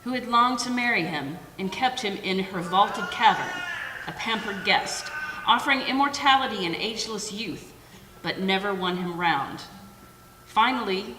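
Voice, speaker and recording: A woman's voice: medium pitch, animated, unhurried. A woman giving a literary narration of an ancient epic from a theatre stage. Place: theatre stage in an arts center. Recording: distant echoey hall, faint noise.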